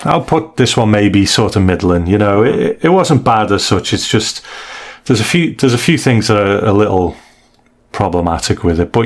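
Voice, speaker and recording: A man hosting a casual review discussion, retelling and explaining stories in a conversational, hedging way. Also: fairly low pitch. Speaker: podcast host.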